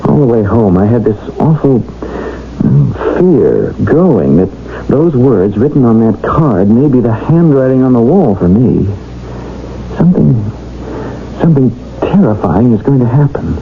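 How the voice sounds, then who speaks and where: An actor playing an anxious, evasive American doctor in a radio drama, halting and defensive in his delivery. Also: mid-range voice, very animated. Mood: troubled, fearful. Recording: muffled, noisy old radio broadcast.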